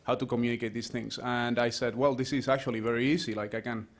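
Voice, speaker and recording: A man's voice: mid-range voice, flat delivery, quick pace. A man from Rico, an associate professor giving a conversational panel talk, full of restarts and fillers. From Puerto Rico. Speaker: associate professor, speaker at a round table.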